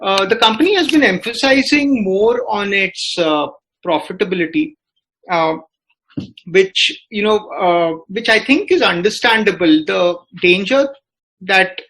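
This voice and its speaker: A man with an Indian accent giving analytical podcast commentary as a business reporter, in a hesitant, halting way with many filler words. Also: sits high, fairly bright tone, rough recording.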